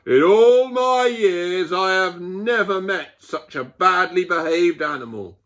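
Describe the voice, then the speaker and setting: A man voicing a furious male wildlife presenter in a picture book, scolding with emphatic, drawn-out words. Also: high-pitched, fairly bright tone, pitched above the performer's own voice. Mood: outraged.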